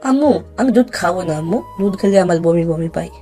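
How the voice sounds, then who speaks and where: A woman's voice: fairly low pitch, animated, a little fast, mellow, lightly acted. A woman playing a whiny, pleading little boy in an audio story. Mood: whining. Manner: childish, pleading. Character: whiny little boy pestering his mother. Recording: music behind the voice.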